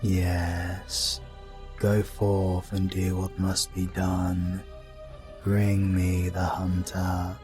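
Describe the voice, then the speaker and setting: Guy doing a deep slow demon lord, scheming and commanding, barely moves in pitch, fantasy audio play. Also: monotone, over music. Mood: cold, commanding. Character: calm, scheming, imprisoned demon lord commanding his servants. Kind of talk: fantasy audio roleplay voice acting.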